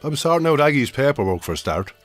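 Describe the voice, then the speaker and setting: A man's voice: mid-range voice, sing-song, fast talker, a bit bright. An actor playing a breezy, tactless Irish farmer who gets defensive with his wife, in a naturalistic radio drama. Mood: jokey, defensive. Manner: jokey, blundering, defensive.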